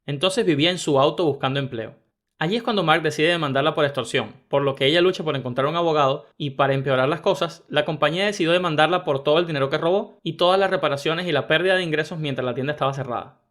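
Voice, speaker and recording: A man narrating first-person internet stories in a brisk, even reading style. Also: a little high. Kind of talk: scripted story narration.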